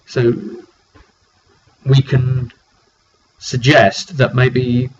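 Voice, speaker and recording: A man's voice: mid-range voice, flat delivery, drawn-out words, slightly rough. A man informally recapping a tabletop roleplaying session as game master, thinking aloud and repeating words as he goes. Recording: dull recording with faint background noise.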